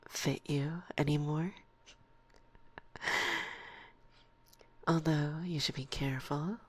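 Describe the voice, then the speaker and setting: A woman voicing an assertive, teasing forest witch who is a stern but fond mentor, in soft, whispery binaural ASMR roleplay with a deliberate, condescending manner, close to the microphone. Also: sits low, exaggerated voice. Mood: sly, teasing. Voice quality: soft, breathy, often slipping into whisper.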